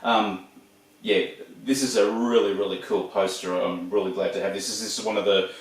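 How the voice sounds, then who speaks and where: A man's voice: lowish voice, little pitch movement, moderate pace. A man in his forties with an Australian accent, chatting casually about his collection with lots of ums and restarts. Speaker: video host. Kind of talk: casual show-and-tell. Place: room full of shelves and posters. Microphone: camera across the room. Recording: echoey room, distant mic.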